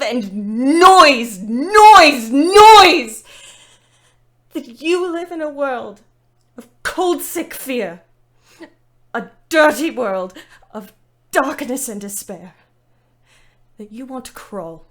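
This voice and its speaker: Slow-spoken woman, weary wartime diarist. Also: fairly high pitch, fairly bright tone. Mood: anguished, despairing. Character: weary young British woman ambulance driver, bitter diarist. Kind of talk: dramatic first-person audio play narration. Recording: clear background noise.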